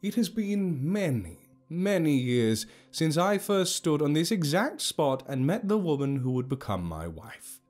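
Storybook elder, played by a male narrator: grand and cheerful, raised pitch, slow ceremonial oratory, music underneath. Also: sing-song, a little mellow. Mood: reminiscing, grand. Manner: slow, oratorical, emphatic delivery. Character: grand, cheerful old leader addressing his gathered tribe.

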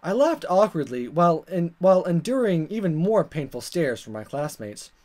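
A man reading a visual novel aloud as a let's player, in expressive narration with casual asides and stumbles that he corrects. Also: a little high, moderate pace. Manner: animated, stumbles over words and corrects himself.

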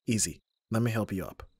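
A man playing an abrasive young bodyguard who is secretly soft, in an ASMR roleplay audio drama, with gruff, protective exasperation. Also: fairly low pitch, normal speed, dry recording. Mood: concerned, gentle.

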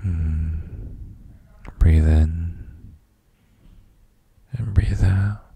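Brief: gentle boyfriend male actor for sleep ASMR; very dark, husky, breathy near-whisper, extremely slow, doting and soothing. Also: sits low, fairly even intonation, heavily acted. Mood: soothing, sleepy. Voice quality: very soft, breathy, gravelly, often near whisper. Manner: slow, hesitant, murmured reassurances with hums. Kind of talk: ASMR roleplay, guided sleep meditation.

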